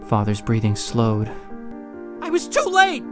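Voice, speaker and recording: A man's voice: medium pitch, huge pitch swings, unhurried, over music. A man acting a young slum hero who tells his own story in an audio drama, earnest and emotional. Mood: anxious, urgent. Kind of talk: first-person audio drama narration with acted dialogue.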